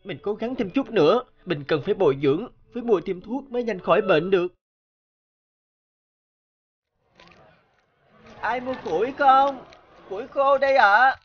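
A man's voice: sits high, animated, brisk, muffled recording. A man voicing a caring, hardworking orphan boy in a cartoon, an earnest and tender big brother. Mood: determined.

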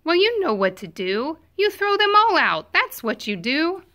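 A woman reading a children's picture book aloud expressively, with animated emphasis. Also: very high-pitched, measured pace.

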